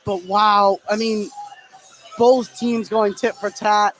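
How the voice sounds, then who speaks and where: A man with a notably high voice works as color commentator at a basketball game. He analyzes play in a conversational way with plenty of filler phrases. Some music sounds behind him in the gym. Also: steady tone, takes it slowly, a bit bright, low-quality recording. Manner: conversational, frequent "you know". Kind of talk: live basketball radio analysis. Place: loud high school gym fieldhouse. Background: thumping music.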